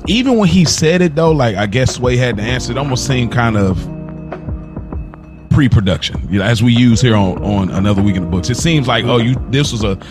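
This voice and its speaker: Mid-pitched man hosting a casual podcast. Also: expressive, fairly quick, over music. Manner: slangy, conversational, repeats phrases for emphasis. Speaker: podcast host.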